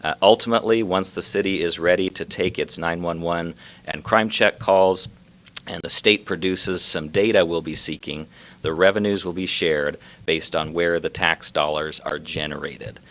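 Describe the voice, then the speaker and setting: A man giving a measured, formal prepared speech as a local official at a public announcement. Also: deep voice, fairly even intonation, fairly bright tone.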